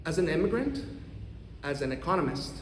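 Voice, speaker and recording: Costa rican guy in his 30s giving an earnest talk, kinda high voice, big roomy hall echo, faint room noise. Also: sing-song. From Costa Rica. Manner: earnest, measured, with occasional restarts. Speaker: economics professor giving a talk. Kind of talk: prepared address to a congregation.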